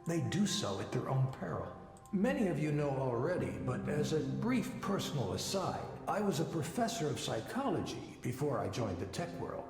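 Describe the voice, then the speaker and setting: An actor playing a confident male psychologist giving a measured, didactic conference talk in a visual novel game. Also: on the high side, sing-song. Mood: critical, assured. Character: confident male psychologist, former tech lead, lecturing an audience. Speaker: conference speaker. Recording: echoey hall reverb, faint music behind.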